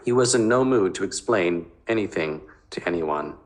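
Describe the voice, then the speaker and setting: A man's voice: low-pitched, animated, moderate pace. A man narrating a novel in a dramatic, scripted reading style.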